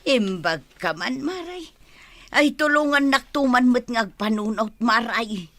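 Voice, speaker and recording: An actress playing an excitable, fretful village mother in an Ilocano comedy radio drama, with an Ilocano accent. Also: on the high side, measured pace, no room sound. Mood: fretting. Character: excitable village mother fretting over her son.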